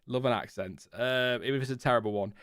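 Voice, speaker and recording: A man's voice: mid-range voice, fast, fairly bright tone, clear, resonant tone, no room sound, clean studio sound. A British man hosting a casual race reaction, thinking aloud and rambling with many fillers. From Britain. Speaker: host.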